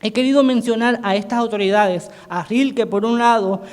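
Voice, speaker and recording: A man whose first language is Portuguese gives an academic conference presentation as a panelist, talking haltingly with many restarts and self-corrections. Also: sits high, steady tone. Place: conference hall. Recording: reverberant hall sound.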